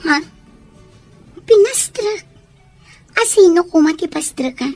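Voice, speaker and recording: An actress playing a bored, questioning young wife kept indoors in an Ilocano radio drama. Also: female voice, very high-pitched, expressive, takes it slowly, a little mellow, soft background music, full character voice. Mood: puzzled, defensive.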